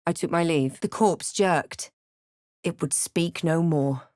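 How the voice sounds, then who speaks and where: A woman playing a brooding fantasy adventurer who recounts her journey in measured, literary first-person game narration. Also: fairly low pitch, a little mellow, no room sound, clean studio sound. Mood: reflective. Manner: measured, reflective, literary storytelling.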